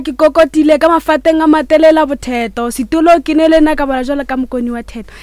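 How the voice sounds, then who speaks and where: Woman declaiming a fast praise poem. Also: high-pitched, no room sound. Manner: rhythmic, declamatory. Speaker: poet, radio guest.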